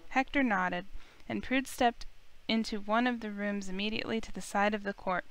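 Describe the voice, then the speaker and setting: A woman's voice: medium pitch, steady tone, normal speed, smooth, poor audio. A woman narrator reading scripted fantasy story narration. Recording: loud music under the voice. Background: loud music.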